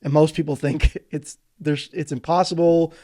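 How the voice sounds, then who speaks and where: A man hosts an interview and asks his guest questions in an encouraging way. His voice sits a bit higher than most men's. He sounds keen and upbeat. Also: fairly even intonation, moderate pace, dark tone. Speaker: host. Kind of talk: casual interview.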